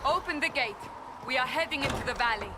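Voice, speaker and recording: A woman's voice: sits high, lively intonation, quick pace. A woman voicing a stern, wary female warrior in a game, with a clipped, authoritative way of speaking. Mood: urgent, commanding. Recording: game dialogue over loud ambient noise.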